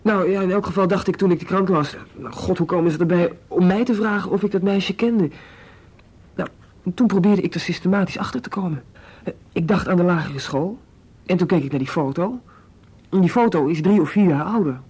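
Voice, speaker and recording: A man's voice: high voice, a little fast, darkish tone, breathy edge. A young man playing a nervous, evasive seventeen-year-old schoolboy in a radio drama, hesitant, stammering and defensive. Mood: nervous, explaining.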